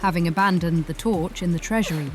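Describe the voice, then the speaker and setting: A woman's voice: on the low side, fast. A woman narrator reading scripted second-person fantasy in a dramatic, suspenseful ASMR storytelling style.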